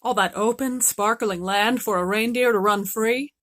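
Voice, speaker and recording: Woman doing a dreamy gentle reindeer. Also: fairly high pitch, normal speed, close to an everyday voice. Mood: wistful. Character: wistful gentle male reindeer.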